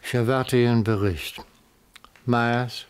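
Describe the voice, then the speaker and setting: An actor playing an aloof, prim syndicate chief, formal and imperious, in a German radio crime drama. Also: male voice, mid-range voice, fairly even intonation, takes it slowly, smooth, lightly acted. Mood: coolly commanding.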